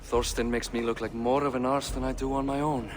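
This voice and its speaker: A man's voice: medium pitch, level tone, fairly quick, lightly acted. An actor playing a genial but world-weary Viking king in a video game, with a wry, old-fashioned way of talking. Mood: troubled, wry. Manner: wry, self-deprecating, old-fashioned phrasing. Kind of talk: dramatic video game acting. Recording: music behind the voice.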